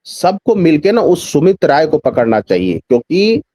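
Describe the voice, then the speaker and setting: A man's voice: fairly high pitch, big pitch swings, moderate pace, warm tone, no echo, clean studio sound. A man speaking as a journalist guest in a news discussion, giving emphatic political commentary with repeated phrases and rhetorical questions.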